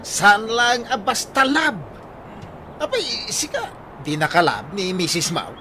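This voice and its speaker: A man's voice: sits high, big pitch swings, unhurried, noisy background. A man playing a teasing, boastful coworker friend in an Ilocano comedy radio drama, full of exclamations. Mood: boastful banter.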